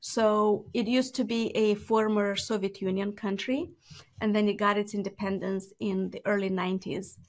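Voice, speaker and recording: A woman's voice: medium pitch, little pitch movement. A woman in her thirties from Moldova with a Moldovan accent, a Romanian native speaker telling her story earnestly as an interview guest. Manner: quick, earnest storytelling with light laughs. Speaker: guest entrepreneur. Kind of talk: conversational interview.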